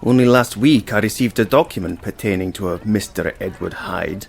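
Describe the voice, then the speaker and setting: An actor playing a measured, inquisitive Victorian lawyer in a stage drama, formal, deliberate and dryly witty. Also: male voice, lowish voice, vocal fry. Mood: thoughtful, intrigued. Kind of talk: theatrical stage drama acting.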